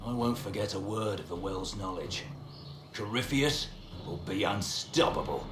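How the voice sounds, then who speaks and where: An actor playing a hard-bitten, bitter villain general in a fantasy game, grim and taunting. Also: male voice, fairly low pitch, moderate pace, close to an everyday voice. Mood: grim, boastful. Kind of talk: video game villain acting. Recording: echoey with noisy ambience behind.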